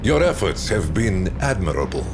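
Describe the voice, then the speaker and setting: Stern, condescending field marshal, swooping delivery. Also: male voice, low voice, very animated, over music. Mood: cool condescension. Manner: commanding, measured, condescending. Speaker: field marshal giving orders. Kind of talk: video game cutscene acting.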